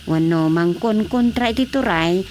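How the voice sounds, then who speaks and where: A woman's voice: mid-range voice, moderate pace, darkish tone, close to an everyday voice. A woman playing a caring grown daughter at home in a radio drama, with faint noise behind her. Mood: concerned, soothing.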